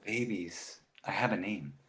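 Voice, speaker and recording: A man playing a precocious talking baby boy, sardonic, indignant and pedantic, in an animated comedy table read. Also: sits low, a little slow. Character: precocious, snarky two-month-old talking baby boy.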